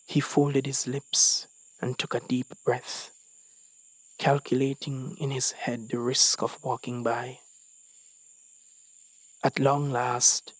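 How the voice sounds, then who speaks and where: A man reading a short story as scripted dramatic narration, with deliberate phrasing and dramatic pauses. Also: mid-range voice, no room sound, low-quality recording. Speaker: story reader.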